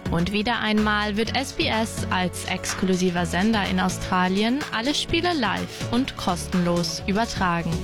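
A woman reading scripted narration as a reporter presenting a radio feature. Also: medium pitch. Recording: music playing behind the voice. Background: background music.

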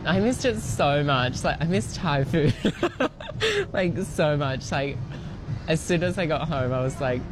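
A man's voice: fairly high pitch, animated, unhurried. Chatty young man outdoors. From Australia. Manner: chatty, lots of "like", laughs often. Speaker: interviewee. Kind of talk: casual interview. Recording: city street noise behind.

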